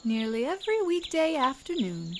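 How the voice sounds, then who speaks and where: A woman's voice: sits high, sing-song, moderate pace, background noise. A woman playing a storyteller, narrating a scripted tale in storybook style. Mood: storytelling.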